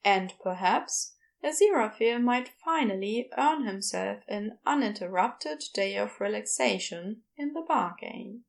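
A woman's voice: mid-range voice, lively intonation, unhurried, creaky, few pauses. A woman narrating scripted fiction as an audiobook storyteller.

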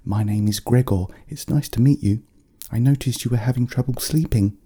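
A gentle little storybook bear, voiced by a man, speaks in a raised, soft-edged voice with a husky grain. The creature is polite and coaxing, soothing as it talks. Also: medium pitch, moderate pace, voice pushed up. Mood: reassuring. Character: small gentle friendly magical teddy bear.

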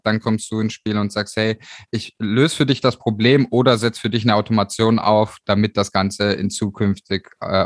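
A man's voice: on the low side, dry recording, studio quality. A man interviewing a guest on his podcast, enthusiastic and casual, often rephrasing himself with fillers.

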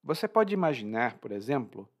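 A man's voice: mid-range voice, a little fast. A Brazilian man with a Brazilian Portuguese accent, teaching Portuguese vocabulary in a chatty, informal way. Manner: lively, informal, chatty, laughs at own jokes. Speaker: language teacher. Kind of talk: explaining vocabulary from a story.

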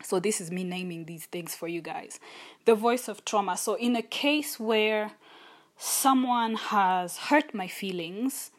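A woman's voice: mid-range voice, a little slow. A young adult woman hosting a solo podcast, talking in an earnest, thoughtful and openly personal way. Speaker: podcast host. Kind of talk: reflective solo podcast talk.

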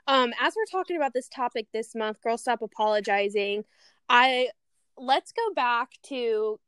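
A woman hosts a casual podcast interview, gushing and bubbly as she welcomes her guest. Her voice sits slightly high, smooth and clear, recorded dry and close with no room around it. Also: lively intonation, unhurried, no echo. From Louisiana. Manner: bubbly, gushing, warm enthusiasm. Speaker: podcast host.

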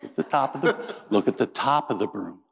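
A man's voice: medium pitch, expressive, brisk, gravelly, slightly rough. A middle-aged man from Indiana preaching a sermon as pastor, folksy and joking as he tells stories. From Indiana, USA. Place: church. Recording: reverberant church sanctuary.

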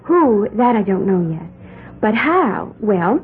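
A woman's voice: medium pitch, big pitch swings, takes it slowly, narrow, tinny audio. An actress playing a dreamy young woman with odd beliefs who babbles in a wide-eyed, sing-song way, in a 1970s radio drama. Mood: earnest, dreamy. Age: young adult. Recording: band-limited old radio broadcast.

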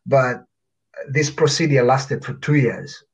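A man in his thirties from Uganda, telling his life story as a guest in an interview, reflective and pausing with fillers. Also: mid-range voice, creaky, slightly rough. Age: 30s. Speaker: guest author.

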